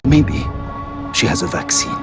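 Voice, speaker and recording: An actor playing a weary, principled army doctor in a video game, speaking in a dry, grave manner. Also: male voice, measured pace, over music, natural voice. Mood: weary, urgent. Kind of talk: scripted game cutscene acting.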